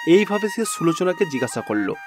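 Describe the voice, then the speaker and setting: High-pitched man narrating a fairy tale. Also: sing-song, fast talker, faint music behind. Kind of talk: scripted storytelling narration.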